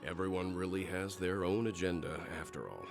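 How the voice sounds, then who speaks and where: A deep male voice plays a mature, caring companion in a video game. He explains things calmly and steadily over clear background music. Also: fairly quick. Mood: calm, explanatory. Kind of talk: scripted video game voice acting.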